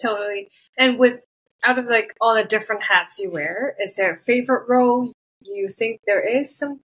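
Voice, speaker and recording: A woman's voice: on the high side, moderate pace, a bit bright, slightly rough, rough recording. A woman interviewer asking friendly, upbeat questions.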